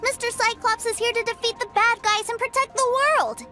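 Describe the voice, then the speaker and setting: Game casting: woman as innocent small boy, very high voice, eager and bouncy intonation, clear music behind. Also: steady tone, fairly quick. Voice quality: childlike, bright-eyed. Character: innocent, excitable little boy, adoring younger brother. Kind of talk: video game voice acting.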